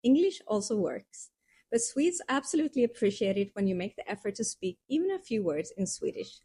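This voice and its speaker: A woman reading scripted narration for a language lesson in a calm, reassuring way, explaining step by step. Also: medium pitch, a little fast, slightly rough. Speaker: course narrator.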